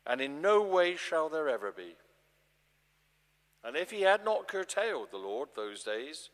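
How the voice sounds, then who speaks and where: A man performs a grave, urgent male prophet in a live scripture drama, each phrase weighty and deliberate. The voice sits a little high and moves dramatically up and down, with long reverberation from a large church around it. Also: fairly bright tone. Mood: grave, foreboding. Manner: deliberate, solemn, weighty phrasing with swelling emphasis.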